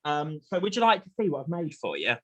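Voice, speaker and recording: A man's voice: fairly high pitch, huge pitch swings, fast, dry recording. A man hosting an online chat show in a chatty, playful, enthusiastic and jokey way.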